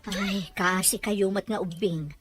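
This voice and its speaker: A woman's voice: mid-range voice, steady tone, unhurried, slight background noise, lightly acted. A woman playing a kindly, frank neighbor in an audio drama, asking sympathetic questions. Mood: probing, kindly. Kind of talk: Ilocano audio drama acting.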